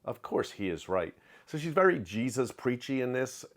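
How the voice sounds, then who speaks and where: A middle-aged man giving a candid, opinionated book review in a home study, talking close to the camera with lively hand gestures. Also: mid-range voice, normal speed. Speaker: book vlogger. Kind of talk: casual reading wrap-up review. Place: home study with shelves of collectibles. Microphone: webcam framing, close to camera.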